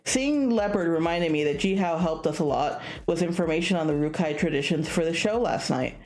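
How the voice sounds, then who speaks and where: A woman streamer reading a visual novel aloud in a casual, expressive narrating style. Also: low-pitched, fairly quick, soft background music.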